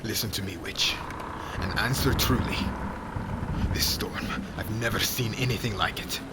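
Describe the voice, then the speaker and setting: A man's voice: moderate pace, lightly acted. A man acting as a stern, proud witch hunter in an ASMR roleplay, speaking in terse, commanding phrases to a captive. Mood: urgent, demanding. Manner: commanding, clipped, terse, low intensity breaking into urgent shouts. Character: stern proud male witch hunter, gruff captor, guardedly duty-bound. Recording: loud storm and ambience noise behind voice.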